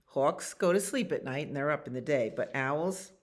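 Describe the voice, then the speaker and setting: A woman reading picture books aloud as a children's library storyteller, expressively, with casual asides about the pictures. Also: deep voice. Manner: expressive reading with acted animal sounds and pauses to comment on pictures. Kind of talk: read-aloud bedtime stories with casual asides.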